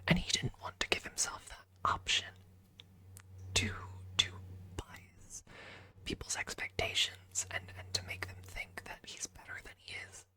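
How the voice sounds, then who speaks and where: A woman ASMR streamer speaking in a breathy up-close whisper, softly and deliberately telling casual stories to viewers right at the microphone. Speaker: ASMR livestreamer.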